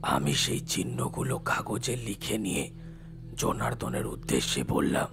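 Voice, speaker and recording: A man playing a solemn, compassionate tantric telling a horror audio story in a slow, hushed, deliberate way. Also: rumbling low, big pitch swings, creaky, music in the background, full character voice. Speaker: narrating lead character.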